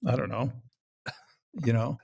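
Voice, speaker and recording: A man with a gravelly edge and middling pitch talks casually as a podcast guest. He is wry and rambles, slipping into quoted remarks as he goes. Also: fairly even intonation, measured pace, a little mellow. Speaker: podcast guest, comedy show creator. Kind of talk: casual interview conversation.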